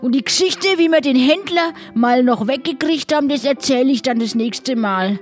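A chummy pirate shipmate, played by a woman, speaks in a fairly high voice. The delivery is chatty and encouraging, from an improvised audio adventure. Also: moderate pace, faint music behind, close to an everyday voice. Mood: fondly reminiscing.

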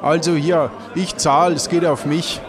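A man's voice: fairly high pitch, steady tone, a little slow, crowd noise. A man improvising casual comic adventure dialogue in a colloquial, bossy way. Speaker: player in an improvised audio play. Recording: music behind the voice.

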